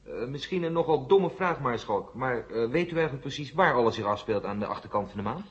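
Male actor for doubting, blunt newsman, rapid speech, middle pitch, vintage radio drama. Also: animated, close to an everyday voice. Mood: skeptical. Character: skeptical, blunt reporter challenging officials. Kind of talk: 1970 Dutch science-fiction radio drama acting. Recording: muffled old radio recording.

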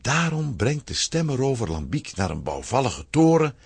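A man narrating a scripted children's audio play as an expressive storyteller. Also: medium pitch, normal speed, vocal fry, slightly rough, no echo.

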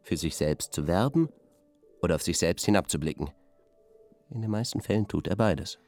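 An actor playing an earnest, lecturing intellectual art critic in a radio drama studio discussion. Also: male voice, low voice, animated, moderate pace, a little mellow, faint music behind. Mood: measured, argumentative.

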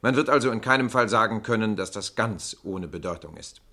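An actor playing an earnest, composed narrator in a radio play, speaking in a deliberate, formal way to his audience. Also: lowish voice, animated, pushed and bright, exaggerated voice. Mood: measured, insistent.

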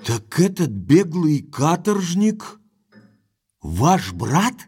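An actor playing a forthright, impulsive gentleman baronet who talks commandingly in an audio drama. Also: a little high, very animated, very slow, slightly rough, full character voice. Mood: demanding. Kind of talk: Russian audio drama acting.

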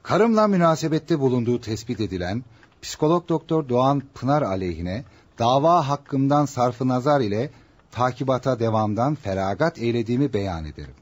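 Husky, sly man playing a shameless swindler. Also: mid-range voice, expressive, normal speed, highs cut off, natural voice. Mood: smug, mock-polite. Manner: oily, businesslike politeness. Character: sly, shameless male extortionist husband, mock-polite. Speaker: radio drama actor. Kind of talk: Turkish radio theater acting.

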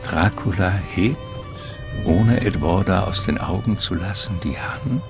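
A man's voice: deep voice, lively intonation, measured pace. A man narrating a radio drama as a scripted literary storyteller. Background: music.

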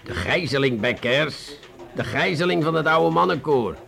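A man with a Netherlands Dutch accent playing a condescending, weary police inspector in a radio play, sardonic and deliberate, with stammered hesitations. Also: on the high side, expressive, gravelly, fairly bright tone. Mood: sardonic, exasperated.

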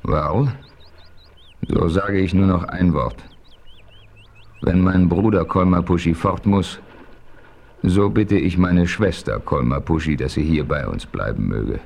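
Casting: steady hero-narrator, very deep, husky voice, calm and firm. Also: male voice, slow, pauses often, heavily acted. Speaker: first-person narrator and hero. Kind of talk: 1970s radio play narration.

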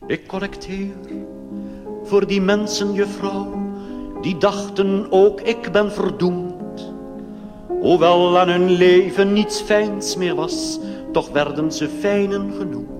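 A man's voice: high-pitched, fairly even intonation, slow, music in the background. A man performing a theatrical cabaret song, half spoken and half sung, declaiming rhythmically and addressing his listeners directly. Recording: reverberant hall, loud musical accompaniment.

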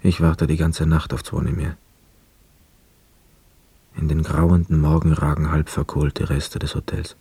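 An actor in a radio play voicing a weary, melancholy young war returnee who narrates in a sombre, measured way. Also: male voice, rumbling low, flat delivery, vocal fry, mellow, slightly rough, no echo, exaggerated voice. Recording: dry studio with faint music.

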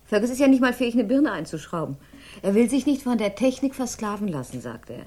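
An actress playing an excitable, devoted young helper in a radio drama, fretful, eager and practical. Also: female voice, a little high, fast talker. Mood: exasperated. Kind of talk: 1960s German radio drama acting.

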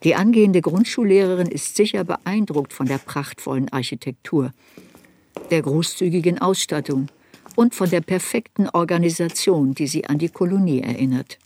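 A woman's voice: on the low side, moderate pace, a little mellow, a little hiss or hum, lightly acted. An actress playing an adult woman memoirist who searches her girlhood unsparingly, in literary radio play narration. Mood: measured, descriptive.

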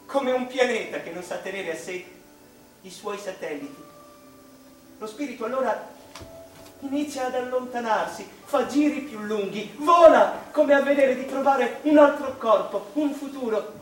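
An actor playing an anguished, reflective English mathematician in a theatrical radio monologue, slow and dramatic, with pauses between short phrases. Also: male voice, extremely high pitch. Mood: rapt. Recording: roomy, distant stage-like sound, music behind.

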